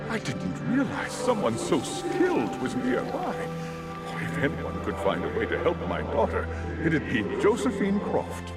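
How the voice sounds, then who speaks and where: Hesitant flustered man, very animated high voice, thinking aloud, game drama, loud music. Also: heavily acted. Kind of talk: video game voice acting. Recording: echoey, distant, under loud music.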